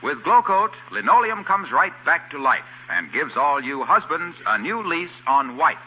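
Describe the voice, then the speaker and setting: A man's voice: fairly high pitch, very animated, moderate pace, slight background noise. An actor with an American accent playing a jovial, self-pleased salesman in an old radio comedy, hearty and full of slogans. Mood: eager, hearty. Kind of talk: 1940s radio comedy acting. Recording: tinny old radio broadcast.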